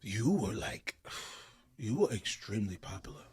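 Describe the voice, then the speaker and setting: A man's voice: on the low side, sing-song, unhurried. A man hosting a podcast, loose and playful, introducing guests with big hype. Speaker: podcast host.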